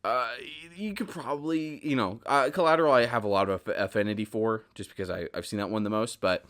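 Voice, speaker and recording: A man's voice: on the low side, fairly quick, a bit bright. A man in his twenties hosting a podcast, chatting casually and emphatically in a rambling solo monologue, repeating himself, with a slightly stuffy nose. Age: 20s. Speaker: podcast host.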